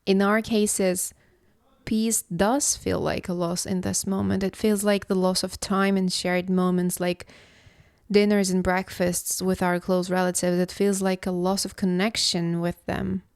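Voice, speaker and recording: A woman from Istanbul, hosting a reflective solo podcast as a philosophy coach, speaking in a slow, contemplative, measured way. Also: medium pitch, level tone, a little mellow, no room sound, studio quality. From Istanbul, Turkey.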